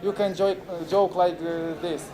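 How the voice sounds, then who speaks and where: A man in his forties from Moldova, a native Romanian speaker, presenting at a conference in halting English with many fillers and restarts. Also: high-pitched, fairly even intonation, crowd noise, rough recording. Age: 40s. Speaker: moderator. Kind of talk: unconference talk. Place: conference room with audience. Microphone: handheld camera recording.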